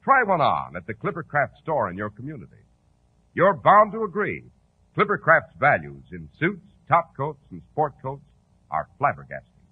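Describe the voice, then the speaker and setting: A man with an American accent announcing and pitching for a sponsor in polished, emphatic 1940s radio style. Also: huge pitch swings, normal speed, breathy edge. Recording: tinny, narrow old radio recording.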